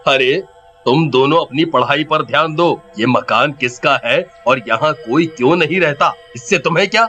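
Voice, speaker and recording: Man playing an irritable, sharp-voiced cartoon father. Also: fairly high pitch, normal speed, faint music behind. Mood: annoyed. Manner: dismissive, scolding. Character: irritable, scolding father of two boys, cartoon.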